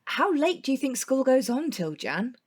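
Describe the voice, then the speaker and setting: A woman's voice: high voice, sing-song, normal speed, slightly rough, heavily acted. An actress playing a blunt, teasing young woman in an audio drama, with a dry, informal manner. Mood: dryly pointed.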